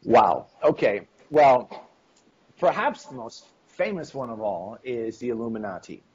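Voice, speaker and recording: A middle-aged man with a husky voice and a slight cold speaks as an interview guest over a video call. His talk rambles, full of ums, and he acts out quoted dialogue. The sound is dull and lo-fi. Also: mid-range voice, animated, unhurried, a little mellow. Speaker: interview guest, esoteric history author. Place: home room on video call.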